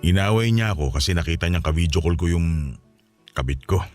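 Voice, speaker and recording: A married philanderer in a radio drama, defensive and pleading with his mistresses. His pitch swings widely as he argues, over soft background music. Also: lively intonation, a little fast. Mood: sheepish. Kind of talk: Filipino radio drama acting.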